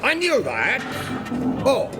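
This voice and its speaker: An actor playing an excitable, bumbling, boastful ambassador in an audio comedy, grandiose and easily flustered. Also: male voice, extremely high pitch, slow, over music, heavily acted. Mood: grandly eager. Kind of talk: audio drama comedy acting.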